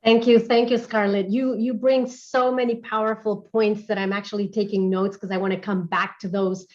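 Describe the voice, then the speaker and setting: A woman's voice: mid-range voice, level tone, moderate pace, highs cut off. A woman moderating a panel discussion, affirming each speaker before moving on to the next. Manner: warm, affirming, thanks speakers and hands over questions.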